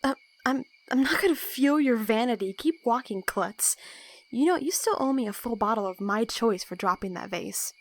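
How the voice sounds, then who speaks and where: A woman's voice: fairly high pitch, expressive, normal speed. A woman playing a sarcastic, bossy young assassin roommate with dry, teasing delivery in an audio roleplay. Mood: teasing, flustered. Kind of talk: casual audio roleplay acting.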